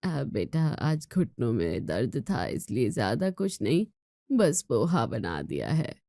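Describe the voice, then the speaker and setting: Woman as a weary, soft, low-pitched indulgent mother. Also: big pitch swings, takes it slowly, gravelly, no echo, clean studio sound, exaggerated voice. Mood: weary, placating. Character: weary, indulgent middle-aged mother with aching knees.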